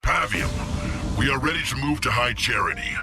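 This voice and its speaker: A man's voice: mid-range voice, animated, a little fast, lightly acted. A man voicing a brash, impatient alien brute chieftain in a video game, cocky and commanding, with music behind. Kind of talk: video game character acting.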